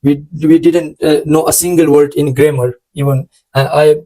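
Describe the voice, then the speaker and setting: A fairly high-pitched man with an Urdu accent gives informal advice on learning English. His voice is mellow and dark-toned, and he speaks in a level, flat way. He often stops short and fills the gaps with hesitant sounds. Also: poor audio. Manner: halting, full of hesitant fillers. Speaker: host of an English-learning channel.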